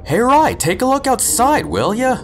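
A man's voice: extremely high pitch, huge pitch swings, moderate pace, faint music behind, heavily acted. A man playing a brash, uneasy train engineer in an audio story, talking casually and bluntly. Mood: puzzled, calling out. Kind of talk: amateur audio drama acting. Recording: faint background noise.

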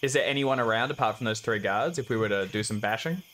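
A man's voice: lowish voice, fast, a bit bright, slight background noise. Young man planning moves in a game. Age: young adult. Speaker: player in a tabletop game. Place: small room at home. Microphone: video call setup.

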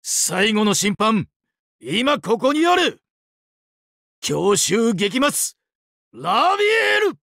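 An actor playing a sadistic, weeping angel of doomsday in a mobile game, exaggeratedly polite and dramatic, swinging between sorrow and glee. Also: male voice, high voice, huge pitch swings, extremely slow, long pauses, bright, forceful tone, no echo, clean studio sound, exaggerated voice. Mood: manic glee. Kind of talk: Japanese mobile game character acting.

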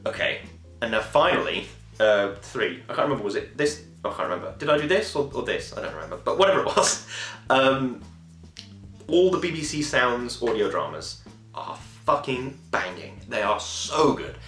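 A man's voice: mid-range voice, big pitch swings, normal speed, a bit bright, echoey room, soft background music. A man in his twenties chatting casually to camera in a rambling, enthusiastic way, swearing now and then. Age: 20s. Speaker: podcast host. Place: small home room, indoors.

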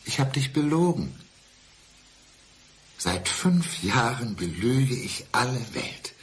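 An actor playing an intense, supposedly blind man clinging to his ex with false calm, in a deliberate, emphatic radio crime drama style. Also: medium pitch, a little hiss or hum. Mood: probing, intense.

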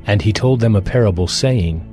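Solemn male Bible narrator played by an actor, lower register, for dramatized scripture with light music. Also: normal speed, darkish tone, close to an everyday voice.

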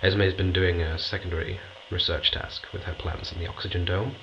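A man's voice: low-pitched, little pitch movement, brisk, close to an everyday voice. An actor playing a dry, understated astronaut scientist narrating his log in an audio drama. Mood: dry, reflective. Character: dry, matter-of-fact male astronaut scientist keeping a log. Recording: dull, noisy recorded log.

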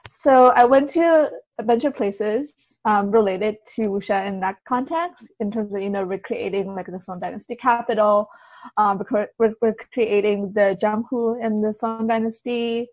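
A woman speaking as an interview guest, casually recounting experiences in a halting, think-aloud way. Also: medium pitch, a little mellow, no echo, muffled recording. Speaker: podcast guest, writer and translator.

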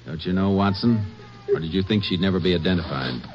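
An actor playing a stern, no-nonsense Texas Ranger, terse and authoritative, in an old radio drama. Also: male voice, low-pitched, brisk, lightly acted. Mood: stern, probing. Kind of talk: 1950s radio drama acting and narration. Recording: muffled, noisy old radio recording.

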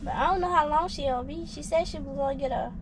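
A young woman sitting in a parked car, chatting to the camera and to a friend in a lively, slangy, exclaiming way. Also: sits high, fast talker. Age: young adult. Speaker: friend left waiting. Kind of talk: casual chat and talking to camera. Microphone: dashboard camera. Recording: noisy car interior.

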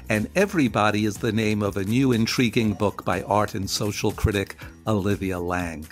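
A man reads a scripted radio introduction as the interviewer. His voice is fairly low and recorded dry, with music playing behind it. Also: no room sound.

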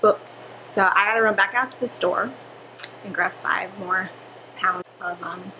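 A woman's voice: medium pitch, steady tone, unhurried, bright, forceful tone, low-quality recording. Young woman vlogger, chatty and self-deprecating, camera on the counter in a home kitchen, light background hum. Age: young adult. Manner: chatty, self-correcting, laughs at herself. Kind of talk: casual chat to camera.

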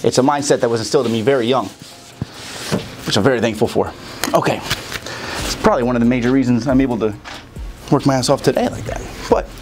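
A man's voice: mid-range voice, fast talker, long pauses, over music. A young American man giving casual, slangy instruction to camera, speaking emphatically and often checking in with the listener. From Chicago, United States. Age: young adult. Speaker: host of a music marketing video for rappers. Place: small home studio room, indoors.

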